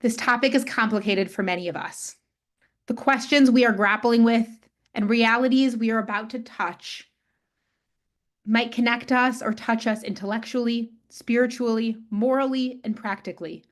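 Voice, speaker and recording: A woman hosting a webinar on a video call, reading a measured, prepared introduction. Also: on the high side, fairly even intonation. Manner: measured, reads from prepared text. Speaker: webinar host.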